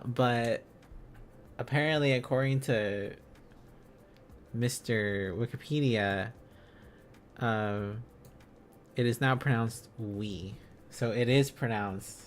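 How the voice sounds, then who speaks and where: A man's voice: medium pitch, slight background noise. A man hosting a casual livestream, thinking aloud as he studies, in a rambling, silly, exclaiming manner. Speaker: livestream host.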